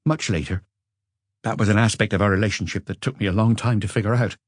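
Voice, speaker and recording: A man voicing an educated Frenchman who looks back on a passionate marriage with regret, in a measured, confessional audiobook reading. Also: lowish voice, steady tone, no room sound, studio quality, pitched above the performer's own voice, close to an everyday voice. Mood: reflective, candid. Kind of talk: audiobook character dialogue.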